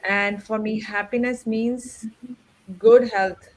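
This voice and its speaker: A woman's voice: mid-range voice, steady tone, slow pace, poor audio. A woman speaking conversationally as a panelist, giving her personal views. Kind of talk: conversational discussion. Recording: faint background noise.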